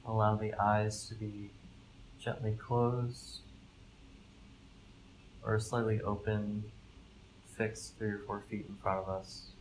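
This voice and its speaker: A man leading a guided meditation, giving gentle, calm instructions in measured phrases with pauses between them. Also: lowish voice, rough recording. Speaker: mindfulness fellow, meditation guide. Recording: live recording with clear background noise.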